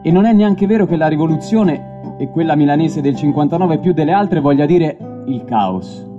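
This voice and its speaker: Declamatory man, higher-pitched, over plucked bass. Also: animated. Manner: declamatory, deliberate phrasing with dramatic pauses. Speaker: stage reader of literary texts. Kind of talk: dramatic literary reading over live music. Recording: dull lo-fi recording, plucked bass music behind. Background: live stick bass music.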